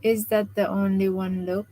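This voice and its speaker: Woman, slow pace, soft low-volume voice, tired after jaw surgery, live stream chat. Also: poor audio. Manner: speaks softly, restarting phrases, laughing often. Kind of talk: casual live stream chat reading comments.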